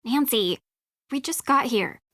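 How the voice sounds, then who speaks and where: A woman's voice: high voice, lively intonation, measured pace, sharp tone, no echo, clean studio sound, heavily acted. An American woman acting as a blunt, sardonic young frenemy in a video game, snarky and emphatic. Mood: curt.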